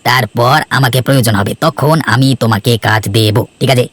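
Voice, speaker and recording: Fast, sly scheming trader, acted by a man. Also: mid-range voice, fairly even intonation, fairly bright tone, natural voice. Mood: scheming, coaxing. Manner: sly, coaxing, self-assured. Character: scheming, persuasive city trader, a villain. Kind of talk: Bengali cartoon fairy-tale dialogue.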